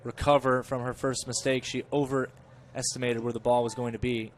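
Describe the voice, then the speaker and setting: A man giving chatty live play-by-play of a college soccer match, correcting himself and rising in pitch and volume when the play gets dangerous. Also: little pitch movement, moderate pace, slight background noise. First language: English. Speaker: play-by-play commentator.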